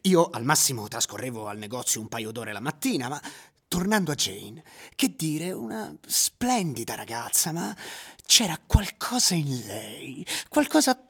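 A man's voice: mid-range voice, very animated, measured pace, fairly bright tone, husky, exaggerated voice. A male actor playing a languid, ironic plant-shop owner who narrates his memories theatrically in a radio drama. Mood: wistful narrating. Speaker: narrator and lead character.

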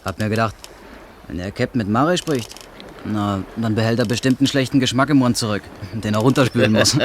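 A man's voice: on the low side, a little fast, close to an everyday voice. Man acting a cheeky young pirate lad, noisy recording. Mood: cheeky, cheerful. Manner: cheerful, chummy, familiar. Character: cheeky, eager young pirate crewman, fawning. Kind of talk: German radio play acting. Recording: noisy old radio play recording.

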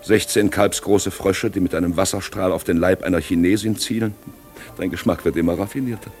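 Actor as a deep-voiced, urbane cynic. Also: male voice, fairly even intonation, a little mellow, natural voice. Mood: dryly ironic. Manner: dry, ironic, measured, articulate. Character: urbane, cynical gentleman friend of a rich host. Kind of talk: 1950s German radio play acting. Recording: vintage 1950s radio play with music.

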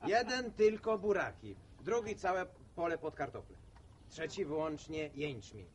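Radio drama role: opinionated town visitor, lightish voice, earnest and lecturing over clear outdoor noise. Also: male voice, a little high, expressive, a little slow. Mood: earnest, insistent.

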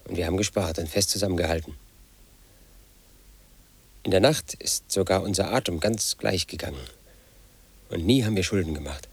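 A man's voice: sits low, slightly rough, no echo. An actor in his late thirties playing a lonely, suspicious house painter, speaking a bitter inner monologue in a radio drama. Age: late 30s. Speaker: protagonist narrating his life.

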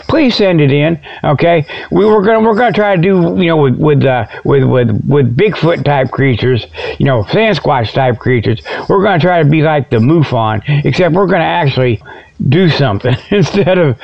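A man's voice: a little high, vocal fry, lo-fi sound. An American man hosting a solo radio show, rambling in a folksy, joking way with stammered repetitions. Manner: folksy, jokey, stammering repetitions, chuckles at own jokes. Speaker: internet radio show host. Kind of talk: rambling solo comic monologue, mock product pitches.

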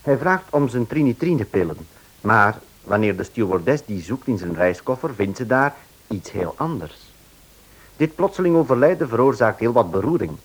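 A man's voice: medium pitch, big pitch swings, normal speed, slightly rough, rough recording. A man delivering dramatic scripted narration and announcements for a radio play.

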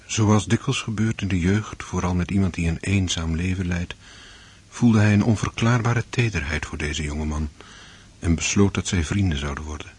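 An actor narrating a radio drama as a measured storyteller of an aristocratic saga. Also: male voice, low voice, darkish tone, slight background noise, lightly acted.

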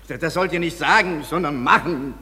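A man playing a fussy, excitable craftsman who directs an amateur play in a comic German radio drama. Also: fairly high pitch, fairly quick. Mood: exasperated, instructing. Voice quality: husky.

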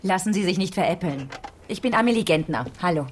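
An actress playing a brisk, probing police detective with a direct, wry manner, in a naturalistic radio drama. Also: female voice, lowish voice, expressive, a little hiss or hum, lightly acted.

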